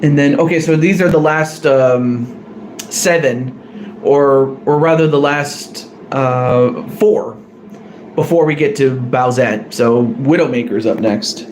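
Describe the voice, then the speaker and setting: A man's voice: medium pitch, takes it slowly, a little mellow, slight background noise, low-quality recording. A man casually drawing cards on a live stream, hesitant and apologetic, often correcting himself. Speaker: streamer drawing cards for a fan wrestling tournament.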